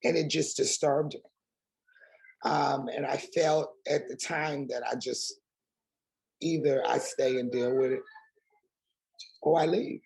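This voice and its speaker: A woman with a raspy voice, speaking as a guest in a candid interview and pressing her points with emphatic rhetorical questions. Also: rumbling low, fairly even intonation, takes it slowly, long pauses, darkish tone, poor audio.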